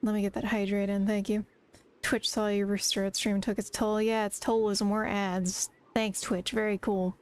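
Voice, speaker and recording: A woman's voice: mid-range voice, level tone, normal speed, darkish tone. A woman streamer chatting casually to her viewers in a rambling, talkative way. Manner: rambling, chatty, talks to chat. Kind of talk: casual live-stream chat.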